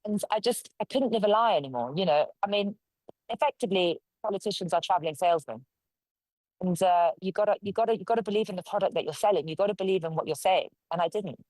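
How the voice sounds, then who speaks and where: A woman's voice: medium pitch, fast, a little mellow, no echo, poor audio. A woman from London, a local councillor, giving forthright, conversational answers as an interview guest. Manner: forthright, conversational, speaks her mind.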